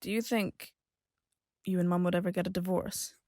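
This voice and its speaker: A woman's voice: fairly low pitch, fairly even intonation, moderate pace, darkish tone, no room sound, lightly acted. A woman acting a fed-up, blunt young adult daughter in an audio drama, conversational and sardonic. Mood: exasperated.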